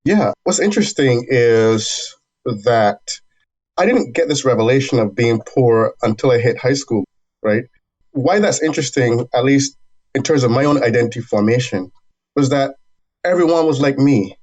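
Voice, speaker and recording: A man guesting on an interview, speaking at a middle pitch. He tells his story in a thoughtful, measured way and sometimes restarts a phrase. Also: darkish tone, low-quality recording. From Barbados. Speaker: university dean, guest.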